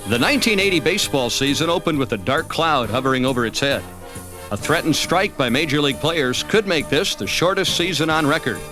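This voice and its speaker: Higher-pitched American male narrator, scripted sports highlight film, clear music bed behind. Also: lively intonation, fairly quick. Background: background music.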